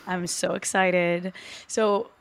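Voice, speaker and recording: A woman's voice: on the low side, steady tone, slow pace, slight background noise. A young woman hosting a podcast who talks with enthusiasm in a casual chat. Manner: enthusiastic, upbeat. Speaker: podcast host.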